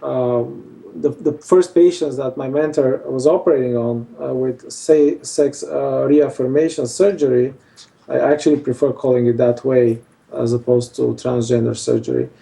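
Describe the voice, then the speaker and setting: A man's voice: medium pitch, steady tone, moderate pace, vocal fry, warm tone, recorded from a distance, rough recording. A male surgeon from Bulgaria, answering interview questions hesitantly with many fillers. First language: Armenian. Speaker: facial plastic surgeon.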